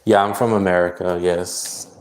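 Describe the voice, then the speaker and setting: Brief: man from California, low-ish voice, relaxed livestream reader, chatty and full of fillers, reacting to chat as it comes. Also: moderate pace, background noise. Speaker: psychic reader hosting a livestream. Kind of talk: casual livestream chat answering viewer comments.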